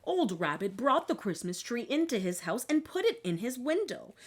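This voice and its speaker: A woman reading a children's picture book aloud in an expressive, dramatic storytelling manner. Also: on the high side, moderate pace, breathy edge. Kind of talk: scripted storybook narration.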